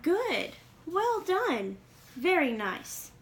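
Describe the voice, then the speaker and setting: Woman voicing a dainty, high-pitched mother poodle. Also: big pitch swings, very slow, full character voice. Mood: approving. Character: proper, genteel mother poodle in a storybook.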